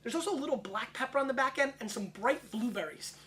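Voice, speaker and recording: A man's voice: extremely high pitch, expressive, bright, forceful tone, a little hiss or hum. A man in his thirties hosting a wine tasting show, brash and joking as he chats. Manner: brash, rapid-fire, joking. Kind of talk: casual tasting chat.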